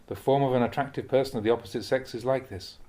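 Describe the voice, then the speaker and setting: Measured man reading a Buddhist talk. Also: medium pitch, fairly even intonation, warm tone. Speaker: Buddhist monk reading translated teachings aloud. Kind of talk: audiobook-style reading of a dharma talk.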